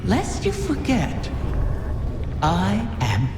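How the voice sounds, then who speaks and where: A woman's voice: mid-range voice, wildly swooping pitch, very slow, exaggerated voice. A woman voicing an ancient, sly female dragon in a video game, with a theatrical, mockingly courtly manner. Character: ancient female wish-dragon, sly, taunting, regal and menacing. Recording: cavernous echo and reverb, music behind. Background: game music.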